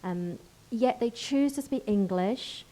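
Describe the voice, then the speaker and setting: Warm-toned woman moderating frankly. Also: a little high, slow. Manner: probing, frank questions. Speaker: panel moderator. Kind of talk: panel discussion.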